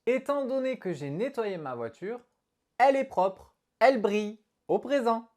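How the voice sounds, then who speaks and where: Animated woman teaching a lesson. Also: fairly high pitch, a little slow. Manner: animated, expressive explaining to viewers. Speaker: English teacher. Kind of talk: online language lesson.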